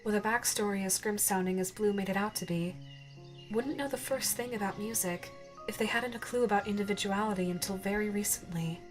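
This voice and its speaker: A woman's voice: mid-range voice, brisk, faint music behind. A woman narrating a second-person ASMR roleplay story as a scripted storyteller. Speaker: narrator and voice actor.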